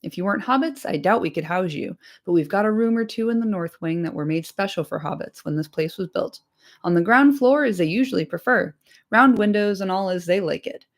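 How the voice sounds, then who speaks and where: A woman voicing a fat, bustling, bald male innkeeper who talks quickly and without pause. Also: medium pitch, animated, lightly acted. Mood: chatty, harried. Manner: brisk, talkative, hurried.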